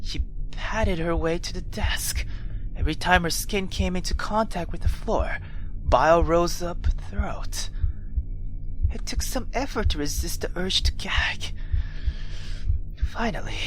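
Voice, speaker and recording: A woman reading a visual novel aloud as a let's player, expressive and dramatic, dropping into hushed asides. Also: fairly low pitch, rough recording. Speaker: video game let's player.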